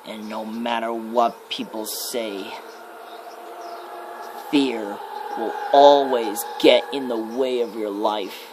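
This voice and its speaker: A man voicing a menacing demon of fear, death and darkness in a slow, ominous ASMR horror monologue with deliberate pauses. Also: fairly low pitch, steady tone, background noise.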